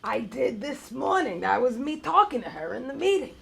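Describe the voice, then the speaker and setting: Woman playing a creaky-voiced, weary male bar owner. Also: high voice, sing-song, moderate pace, a bit bright, full character voice. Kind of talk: live comedy table read. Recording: live stage, faint room noise.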